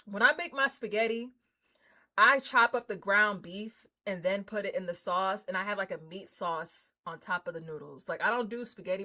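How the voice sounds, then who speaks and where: A woman livestreamer chatting casually with her viewers in an excitable, slangy, informal way. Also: fairly low pitch. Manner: excitable, hyped, effusive; slangy, informal, drops swearing in. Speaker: livestreamer hosting a game stream.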